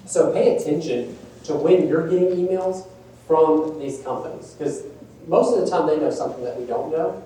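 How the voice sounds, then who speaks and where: A man's voice: fairly high pitch, moderate pace, dark tone, a little hiss or hum. A man presenting a workshop at a nonprofit conference, talking casually with fillers. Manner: casual, conversational, many fillers. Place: large echoey conference room. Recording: echoey room, distant mic.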